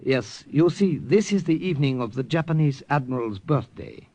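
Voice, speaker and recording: An actor playing a courteous, formal Siamese underground major in an old radio drama, speaking polite and precise English. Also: male voice, mid-range voice, lively intonation, moderate pace, mellow, no room sound. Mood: gracious, composed. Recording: noisy old radio recording with clicks.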